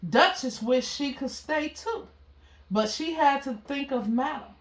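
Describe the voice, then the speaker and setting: Unhurried woman reading a children's storybook. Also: high voice. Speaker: storybook reader. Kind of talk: children's story read-aloud. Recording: roomy, echoey sound.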